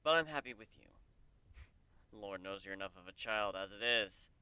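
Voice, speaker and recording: Man playing a gentle, hesitant male pegasus, clean and close. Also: expressive, normal speed, clear, resonant tone, dry recording. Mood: fond, teasing. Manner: hesitant, low-key, tender. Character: devoted, earnest male pegasus guard, sheepish and gentle.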